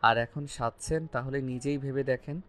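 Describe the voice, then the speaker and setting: An actor playing a possessive, earnest young student in love in a romantic audio story. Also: male voice, mid-range voice, fairly even intonation, normal speed, a bit bright, lightly acted.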